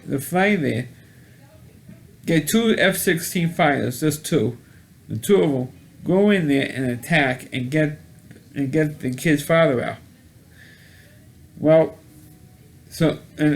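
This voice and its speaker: Rambling man reviewing an old movie. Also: on the high side. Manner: rambling, with many restarts and repeated words; blunt and mildly profane. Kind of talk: casual spoken movie review.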